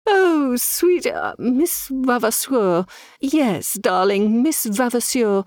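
Cast: woman voicing a fawning, flighty medium, high cooing sing-song, dry close sound. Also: sing-song, takes it slowly, a little mellow, dry recording, heavily acted. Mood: flustered. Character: gushing eccentric female medium, fawning and flighty.

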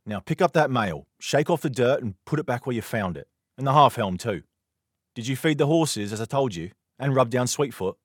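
A man voicing a young knight who scolds in a stern, gruff manner in an audiobook. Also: medium pitch, animated, fairly quick, a little mellow, no echo, clean studio sound, voice pushed up. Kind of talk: audiobook character voice.

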